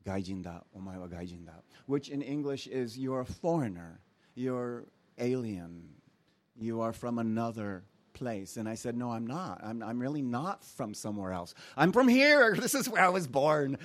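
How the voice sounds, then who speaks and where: A man raised in Japan preaches as a guest speaker, reading scripture aloud and then drifting into stories from his own childhood. He talks in an animated, conversational way, with quick asides to the congregation. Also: medium pitch. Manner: animated storytelling with asides and laughs.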